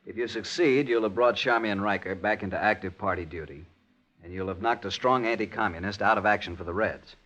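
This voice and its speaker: An actor in a 1950s radio drama playing a sober, sympathetic handler, speaking in an earnest, businesslike way. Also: male voice, lowish voice, a little fast, fairly bright tone. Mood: grave, sympathetic. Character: sober, sympathetic male handler briefing his agent. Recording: dull lo-fi old radio recording.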